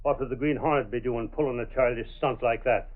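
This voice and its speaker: An actor playing an impatient, bossy American police sergeant, gruff, slangy and brusque, in old radio drama. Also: male voice, mid-range voice, steady tone, brisk, phone-quality sound, close to an everyday voice. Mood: irritated. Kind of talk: 1930s-40s radio drama acting. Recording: thin band-limited vintage radio recording.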